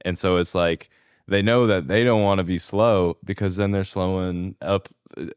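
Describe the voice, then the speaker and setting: Deep smooth young man, laid-back. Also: fairly even intonation, moderate pace, dry recording, studio quality. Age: 20s. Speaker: podcast guest. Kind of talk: casual chat.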